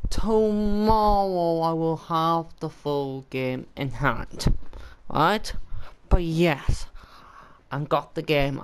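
A man's voice: on the high side, smooth. A Welsh man casually commenting on his own video game play as a gaming channel host. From Wales. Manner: loud energetic greeting, then quiet murmuring while customizing. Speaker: gaming YouTuber.

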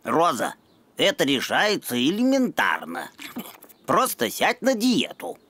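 Husky, swooping brainy cartoon boy. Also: sits low, wildly swooping pitch, a bit bright, full character voice. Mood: matter-of-fact. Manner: bookish, deliberate. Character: brainy, pedantic young cartoon dog boy.

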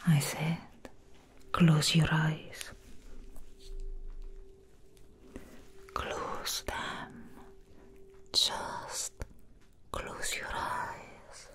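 A young woman playing a calm, commanding female assassin in a whispered ASMR roleplay, slowly and softly instructing the listener up close. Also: low voice. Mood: stern, teasing. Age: young adult. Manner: slow, hushed, instructing the listener. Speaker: ASMR performer. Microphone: very close ASMR microphone.

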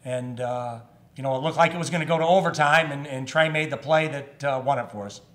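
A man in his fifties, a basketball head coach, answering reporters at a postgame press conference, measured and full of "uh" and restarts. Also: mid-range voice, fairly bright tone. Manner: measured, with frequent fillers and restarts. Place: press room in front of a sponsor backdrop. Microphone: table microphone.

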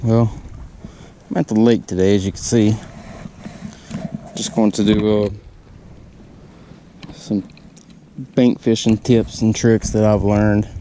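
A man giving casual fishing tips from a lakeshore, talking in halting bursts with lots of "uh" fillers, on a body-mounted camera. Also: lowish voice, level tone, dark tone, slight background noise. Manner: halting, many "uh" fillers, laid-back. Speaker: fishing vlogger. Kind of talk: casual how-to narration while fishing. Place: outdoors on a lakeshore. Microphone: body-mounted action camera.

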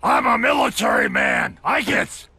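A man voicing a bullying military drill sergeant at a cartoon camp, barking menacingly in a gravelly voice. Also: very high voice, very animated, measured pace, bright, forceful tone, dry recording, raised voice, exaggerated voice. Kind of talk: animated cartoon acting.

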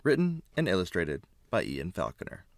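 Guy reading a kids book out loud, deepish voice, swoops up and down a lot, close dry sound. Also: normal speed, creaky, dry recording. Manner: expressive, emphatic phrasing. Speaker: children's book reader, narrator. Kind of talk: read-aloud storybook narration.

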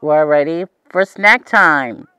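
A man's voice: fairly high pitch, slow, bright, forceful tone, smooth, no echo, studio quality. A man playing a cheerful, eager young host of a preschool TV show, talking to the viewer in an exaggerated, wide-eyed way. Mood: cheerful, excited. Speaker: children's show host character.

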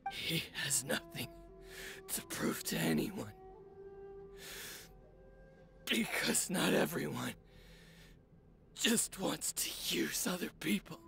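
An actor playing an earnest young male smith in a game cutscene, talking intensely and defiantly with a gravelly edge, over music. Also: on the high side, sing-song, takes it slowly, bright, forceful tone, exaggerated voice. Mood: pleading. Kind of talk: video game voice acting. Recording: game audio with music underneath.

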